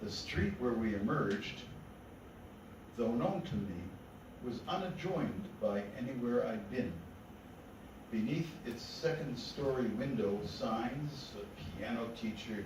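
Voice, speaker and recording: Slow-reading man in a very echoey room. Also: mid-range voice. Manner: measured, deliberate phrasing. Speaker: poet reading own work. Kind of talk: poetry reading to a live gathering. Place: indoor literary meeting room. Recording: echoey room from far off, noisy.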